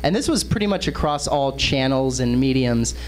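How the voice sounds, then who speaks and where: A man from Texas with an American accent hosts a comedy panel show in casual, profane, excitable banter. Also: medium pitch, normal speed, low-quality recording. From Texas, United States. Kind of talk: casual comic political chat.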